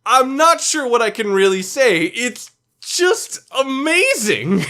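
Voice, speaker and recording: A man's voice: extremely high pitch, big pitch swings, slow pace, background noise. A man narrating a countdown video as its reviewer, delivering opinionated scripted commentary in a blunt, sweary, emphatic way.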